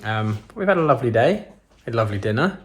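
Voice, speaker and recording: A man vlogging in a relaxed, rambling way, full of ums and restarts. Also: medium pitch, fast, a little hiss or hum. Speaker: travel vlogger. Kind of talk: casual chat to camera.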